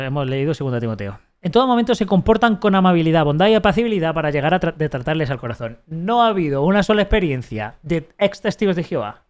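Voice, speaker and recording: A man's voice: a little high, smooth. A man with a Spanish accent from Spain reading a text aloud on a live stream, breaking off for sarcastic, mocking asides and restarting his sentences often. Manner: halting, frequent restarts while reading.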